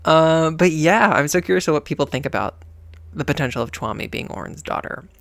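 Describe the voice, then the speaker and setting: A reaction host talks casually about a game story, slangy and playful. The voice is creaky with a medium pitch, recorded dry. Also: expressive, fairly quick, fairly bright tone, no echo.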